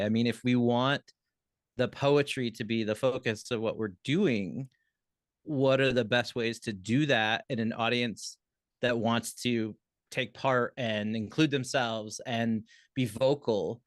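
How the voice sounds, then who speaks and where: A man's voice: medium pitch, steady tone, unhurried, no room sound. A man on a theatre panel, musing aloud and asking questions in a casual, conversational way.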